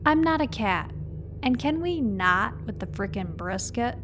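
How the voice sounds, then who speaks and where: A woman's voice: on the high side, lively intonation, unhurried, background noise. A woman playing an exasperated, sarcastic mercenary pilot with a blunt manner. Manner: blunt, sardonic.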